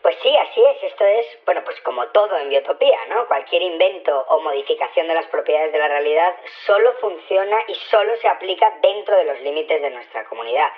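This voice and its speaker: Flustered tiny security chief on phone. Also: male voice, animated, a little fast, hardly any pauses. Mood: resigned, candid. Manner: hesitant, candid. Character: flustered security chief shrunk to half size, small high voice. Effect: pitched-up tiny voice. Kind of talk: scripted radio drama phone interview. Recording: muffled narrow telephone line.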